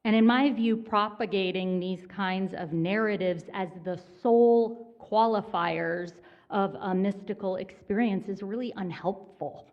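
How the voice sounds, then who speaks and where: A woman minister giving a sermon in a church, conversational and gently self-mocking in her humor. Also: mid-range voice, a little slow, hardly any pauses, dull audio. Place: church sanctuary.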